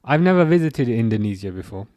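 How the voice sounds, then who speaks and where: A man's voice: mid-range voice, very animated, quick pace, darkish tone, dry recording. A young man from England with an English accent, hosting a casual football livestream and chatting with viewers in a rambling way, full of restarts. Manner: rambling, repeats words and restarts, reads chat aloud. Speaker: livestream host.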